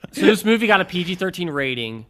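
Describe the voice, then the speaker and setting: A man's voice: high-pitched, sing-song, fast talker, a bit bright. A man co-hosting a film podcast, giving his opinions in casual conversation. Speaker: podcast co-host.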